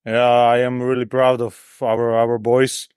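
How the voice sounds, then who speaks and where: Slovak man in halting, smooth-voiced English. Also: mid-range voice, completely flat delivery, darkish tone, dry recording, clean studio sound. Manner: halting English, repeating words while searching for them. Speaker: football fan interviewed before a match.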